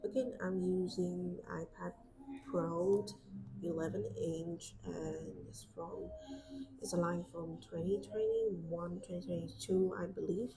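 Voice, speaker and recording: A woman's voice: on the low side, steady tone, takes it slowly. A young woman with a Vietnamese accent, casually chatting and thinking aloud with lots of fillers as she draws on a live stream. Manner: soft-spoken, thinking aloud with many fillers. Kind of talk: casual chat while drawing. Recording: clear background street noise. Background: outside street noise.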